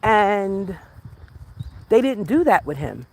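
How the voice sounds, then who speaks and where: A woman's voice: mid-range voice, big pitch swings, slow pace, darkish tone, a little hiss or hum. An American woman hosting a livestream, chatting casually and rambling to her viewers while out on a walk outdoors. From United States. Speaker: livestream host. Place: outdoors on a walk, rural or natural setting.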